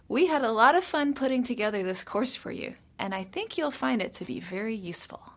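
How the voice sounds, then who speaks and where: Woman, English teacher, self-introduction, friendly, expressive pitch. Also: a little fast.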